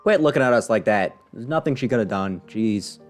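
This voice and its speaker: A man's voice: lowish voice, expressive, a little fast. A man giving casual, slangy live commentary as a game streamer, wry and rambling. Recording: voice over faint game music.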